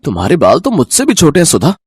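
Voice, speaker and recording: A man's voice: a little high, big pitch swings, moderate pace, slight background noise. A man playing a shallow, fickle young husband in a cartoon story, with exaggerated sneering and gushing delivery. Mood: mocking. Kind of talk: Hindi animated moral story dialogue.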